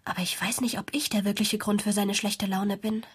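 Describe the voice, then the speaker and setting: An actress playing an earnest, dutiful sixteen-year-old girl in a radio drama, breathy and confiding. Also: mid-range voice, little pitch movement, a little fast. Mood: worried, confiding. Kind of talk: radio drama dialogue, phone chat.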